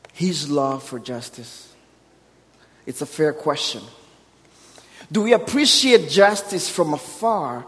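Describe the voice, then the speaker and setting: A man in his thirties from Burundi with a Burundian accent, preaching a sermon with humor and building emphasis. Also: fairly high pitch. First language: Kirundi. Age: 30s. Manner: warm storytelling with self-deprecating humor, rising emphasis. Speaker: guest preacher. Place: church sanctuary. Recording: long hall reverb.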